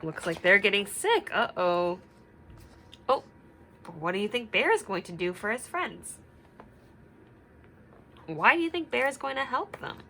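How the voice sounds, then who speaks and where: A woman reads aloud to young children as their teacher, pausing to ask and explain. Her voice is bright and very expressive, taken at a slow pace, with faint noise behind it. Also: mid-range voice. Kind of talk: children's read-aloud with questions to listeners.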